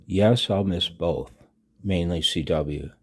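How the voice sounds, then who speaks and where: A man in his sixties giving a personal voice-over announcement, halting and reflective, with a husky low voice. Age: 60s. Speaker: amateur radio hobbyist and video narrator. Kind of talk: personal voice-over announcement, partly read from own text.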